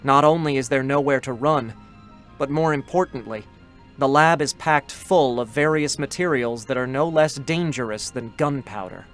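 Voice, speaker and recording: A man's voice: medium pitch, normal speed, fairly bright tone, faint music behind. A man reading scripted story narration in a dramatic style, as the narrator.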